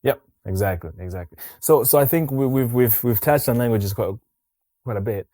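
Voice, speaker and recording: A man from Mozambique hosting a podcast interview, talking in a casual, halting way with frequent restarts. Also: mid-range voice, mellow, no room sound, clean studio sound. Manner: halting, many restarts and fillers. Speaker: podcast host.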